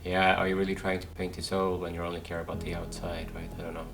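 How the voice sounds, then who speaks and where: A man's voice: sits low, flat delivery, fast, fairly bright tone, a little hiss or hum. A man giving casual gameplay commentary, musing aloud and repeating words as he works things out. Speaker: gaming video host. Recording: music behind the voice. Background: game music.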